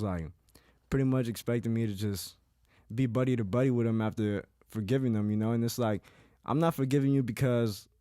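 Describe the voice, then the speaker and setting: Dark-voiced man, casual podcast talk. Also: medium pitch, no room sound, clean studio sound. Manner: reflective, heavy on "like" and "you know". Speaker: podcast co-host.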